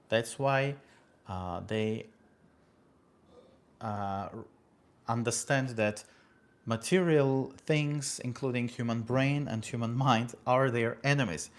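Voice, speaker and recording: A man from Armenia hosting a casual livestream from California. He thanks viewers by name and talks about philosophy with many fillers and asides. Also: medium pitch. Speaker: livestream host. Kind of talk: casual livestream talk reading viewer comments. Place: home in California.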